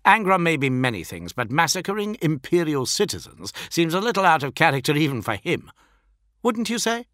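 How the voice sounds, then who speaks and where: A man's voice: on the high side, very animated, moderate pace, fairly bright tone, no room sound, studio quality, pitched above the performer's own voice. A man voicing an arrogant, volatile superhuman warrior lord in an audiobook, with a haughty, commanding delivery. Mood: dismissive. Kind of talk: dramatic audiobook character voice.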